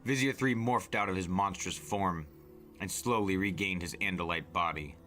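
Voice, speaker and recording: A man narrating a story dramatically, like an audiobook, intense and deliberate in his phrasing. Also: low voice, over loud music.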